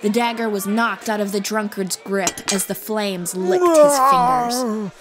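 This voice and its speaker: A woman's voice: mid-range voice, unhurried, noisy background. A woman reading scripted fantasy audiobook narration as the storyteller. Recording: music bed behind voice.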